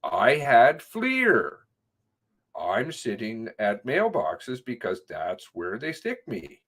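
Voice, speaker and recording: A man reads conversational testimony aloud at an unhurried pace. His pitch sits in a middle range but moves widely, and he leans on certain words to stress them. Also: a bit bright. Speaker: narrator reading an interview transcript aloud. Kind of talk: read-aloud of spoken interview transcript with commentary.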